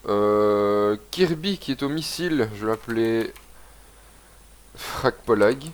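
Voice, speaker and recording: A man's voice: on the low side, lively intonation, takes it slowly, smooth. Congested young man with a cold. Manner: rambling, reads game text aloud. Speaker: gaming video host. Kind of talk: casual let's-play commentary.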